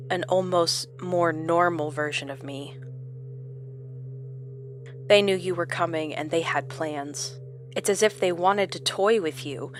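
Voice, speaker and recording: Casting: female actor for haunted, confused woman, horror audio drama. Lower-pitched, solemn, introspective, measured, light music underscore. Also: fairly bright tone. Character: troubled, confused woman haunted by fractured memories and identities.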